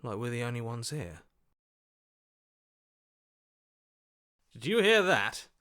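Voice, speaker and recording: Doubtful everyman hero, lively mid-range voice. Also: medium pitch, brisk. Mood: resigned, uncertain. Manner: questioning, hesitant, reasoning aloud. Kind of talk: video game voice acting.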